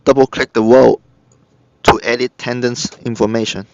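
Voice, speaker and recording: A man narrating a step-by-step software tutorial in short, halting instructional phrases. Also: medium pitch, level tone, vocal fry, no room sound. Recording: dull lo-fi computer recording. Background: screen-recorded tutorial voice-over.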